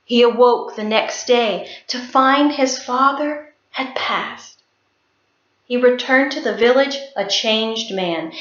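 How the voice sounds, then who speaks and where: A woman performing a folk tale as a storyteller, deliberate, expressive and suspenseful. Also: fairly high pitch. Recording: roomy, slightly dull sound.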